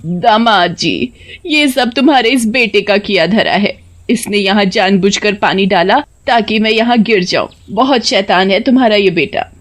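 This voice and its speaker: A woman's voice: medium pitch, measured pace, lightly acted. Woman playing a scheming, spiteful cartoon grandmother. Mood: scolding, accusing. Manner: dramatic, scolding, wailing when feigning hurt. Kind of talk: cartoon story voice acting.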